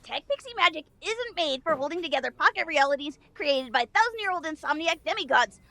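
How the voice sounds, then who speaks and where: Woman voicing a high-pitched, wisecracking brash pixie. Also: lively intonation, brisk, bright, forceful tone, dry recording, full character voice. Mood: urgent. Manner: snappy, wisecracking. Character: brash, hurried female tech pixie with magic. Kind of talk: audio drama acting.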